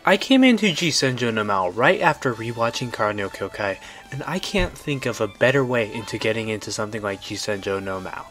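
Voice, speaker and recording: A man's voice: mid-range voice, expressive, a little fast, hardly any pauses, a bit bright. A man narrating a scripted video game review in an enthusiastic, opinionated way. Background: background music.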